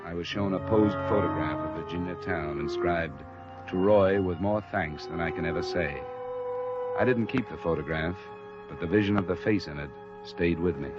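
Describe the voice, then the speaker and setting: An actor with an American accent playing a businesslike, unruffled insurance investigator in an old radio detective drama, speaking in a clipped way. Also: male voice, sits low, normal speed, lightly acted. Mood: matter-of-fact. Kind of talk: 1940s-50s American radio detective drama acting and narration. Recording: dull vintage radio recording with clear music.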